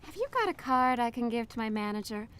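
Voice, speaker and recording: An actress playing a wry, helpful bank teller in a noir radio drama, speaking casually. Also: female voice, fairly high pitch, sing-song, quick pace, fairly bright tone, clear, resonant tone.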